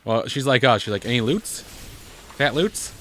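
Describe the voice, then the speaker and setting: A man's voice: medium pitch, animated, moderate pace, fairly bright tone, faint music behind. A man with a bad cold giving casual, joking game commentary with dry sarcasm as a co-host. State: has a bad cold, coughing. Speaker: gaming video co-host. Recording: noisy room, game sounds behind.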